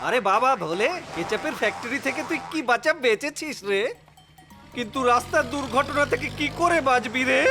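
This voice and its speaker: Very high voice, boastful protective cartoon friend, exclamatory, music behind. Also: male voice, lively intonation, moderate pace. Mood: urgent, alarmed. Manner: exclamatory, bragging. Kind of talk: exaggerated children's cartoon dubbing.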